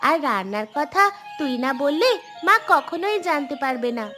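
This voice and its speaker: An actress playing a mischievous, bossy village girl in an audio drama, scolding and quick with excuses. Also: sits high, sing-song, sharp tone, faint music behind, heavily acted. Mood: sly, eager. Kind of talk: acted audio story dialogue.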